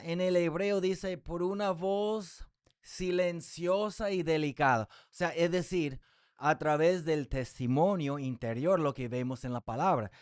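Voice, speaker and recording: Slow, clear-voiced preacher, Spanish as second language. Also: male voice, fairly high pitch, no echo, clean studio sound. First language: English. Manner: slow, deliberate reading of scripture with frequent fillers. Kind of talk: Bible teaching sermon.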